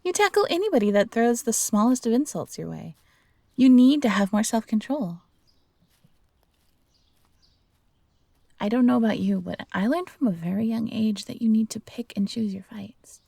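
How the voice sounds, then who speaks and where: A woman voicing an earnest, gentle but defiant young fox-hybrid companion in an audio roleplay, speaking pleadingly and hesitantly. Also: on the high side, expressive, brisk, gravelly, no echo, studio quality. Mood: worried scolding.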